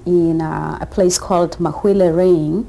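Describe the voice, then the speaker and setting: A man's voice: on the high side, steady tone, a little slow, creaky, warm tone. A man with a South African accent, answering interview questions in a thoughtful, articulate way. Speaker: interviewee, former scholar.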